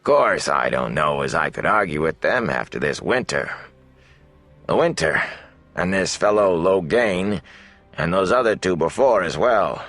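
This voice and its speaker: A man voicing a chatty, excitable middle-aged innkeeper with folksy, gossipy talk in a fantasy audiobook. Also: deep voice, measured pace, no room sound, faint music behind, exaggerated voice. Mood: excited, gossipy. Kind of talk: fantasy audiobook character dialogue.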